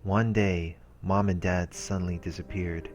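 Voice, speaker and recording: A man's voice: deep voice, level tone, a little slow, dull audio. A man reading visual novel text aloud as a let's play host, in a narrating style. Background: soft game music.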